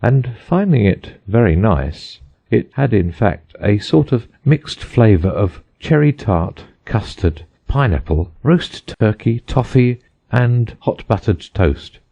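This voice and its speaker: Man for audiobook narration: low, husky voice, slightly dull recording. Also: big pitch swings, slow pace, warm tone. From United States. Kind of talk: scripted storybook narration.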